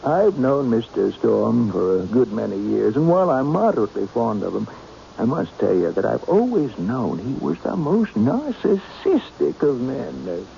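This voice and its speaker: A genteel, reassuring confidant in an old radio mystery. His mellow, creaky voice swings widely as he tactfully humors and doubts. The recording is muffled and noisy. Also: mid-range voice, sing-song. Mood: measured, tactful. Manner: measured, hesitant, formally polite, skeptical. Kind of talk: radio mystery drama acting.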